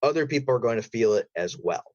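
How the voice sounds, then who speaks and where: A man speaking as a podcast guest, telling stories about creative work in a casual interview style with an animated manner. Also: mid-range voice, fast, no room sound, highs cut off. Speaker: podcast guest, voice actor and audio drama creator. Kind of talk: casual interview chat, storytelling.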